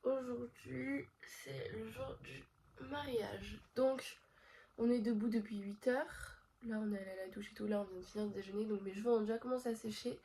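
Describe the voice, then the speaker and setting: A woman's voice: a little high, steady tone, moderate pace, mellow, slight background noise. A woman vlogging from her bedroom at home with a stuffy nose from a cold, chatting straight to her viewers with plenty of fillers. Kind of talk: casual chat to camera.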